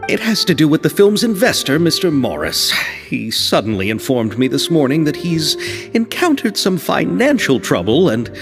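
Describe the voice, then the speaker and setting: A man's voice: on the high side, sing-song, moderate pace, soft background music. A man voicing an eager, apologetic film director in a video game, with a polite, effusive and hesitant manner. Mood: flustered, apologetic.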